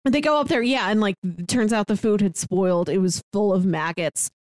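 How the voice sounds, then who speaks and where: A woman's voice: mid-range voice, a little fast, a little mellow, no room sound. A woman co-hosting a podcast, chatting casually about a film with frequent "like" fillers and playful sarcasm. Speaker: podcast co-host. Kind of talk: casual movie discussion.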